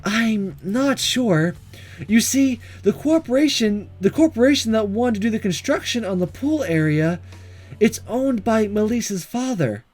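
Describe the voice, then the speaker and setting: A man voicing an earnest, guarded schoolgirl from a visual novel, speaking in a hesitant, deliberate way. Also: sits high, lively intonation, soft background music, voice pushed up. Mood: serious, hesitant.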